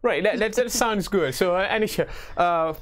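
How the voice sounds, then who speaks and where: A man hosting a TV studio interview, asking long rambling questions full of fillers in an animated way. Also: sits high, normal speed. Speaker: TV show host.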